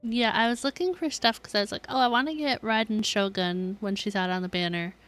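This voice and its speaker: A woman's voice: mid-range voice, brisk, fairly bright tone, clean tone, no echo, soft background music. A woman game streamer giving chatty, informal, self-deprecating live commentary as she plays. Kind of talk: casual live gameplay commentary.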